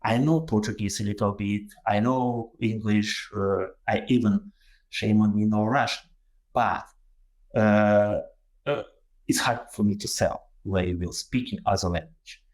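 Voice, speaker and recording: Ukrainian-accented man presenting haltingly. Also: on the low side, a little mellow. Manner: halting, many fillers and restarts. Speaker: guest presenter. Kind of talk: webinar presentation.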